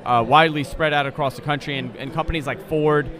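A man's voice: on the high side, steady tone, fast, a bit bright. A man in his thirties hosting a casual, conversational interview, speaking close to a studio microphone. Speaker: interviewer, podcast host. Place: convention expo booth, indoors. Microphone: close studio microphone on desk.

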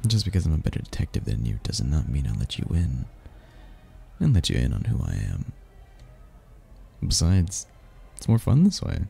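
A man's voice: very deep voice, huge pitch swings, normal speed, creaky, pauses often, mellow, husky, soft background music, exaggerated voice. A man playing a charming, teasing mysterious stranger at a royal ball in a romantic ASMR roleplay, speaking in a playful, flirtatious way.

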